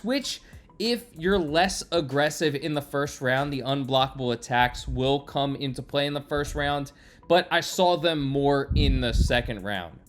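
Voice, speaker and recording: A man hosting a gaming video, explaining play in a plain tutorial narration. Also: a little high. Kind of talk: scripted gameplay tutorial narration.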